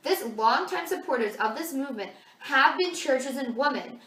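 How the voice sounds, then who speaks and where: A woman's voice: high-pitched, animated, normal speed, a bit bright, distant mic. A teenage American girl delivering an amateur scripted mock newscast as a student correspondent. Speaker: student playing news anchor and correspondent. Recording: echoey room, faint noise.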